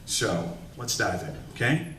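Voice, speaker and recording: A man, an executive coach, giving a lively motivational workshop talk to an audience, often tossing "right?" back at the room. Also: lowish voice, measured pace, far from the mic. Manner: upbeat, calls to the audience with "right?". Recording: echoey room recorded from afar with faint hiss.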